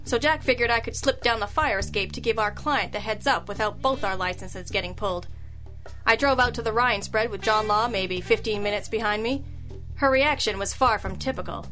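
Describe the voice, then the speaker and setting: Actress doing wry lady gumshoe, highish voice, old radio detective show, music under it. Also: brisk, fairly bright tone, natural voice. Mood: wry narration. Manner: wry, slangy, hard-boiled. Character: wry, sassy female hard-boiled private detective, narrating and talking.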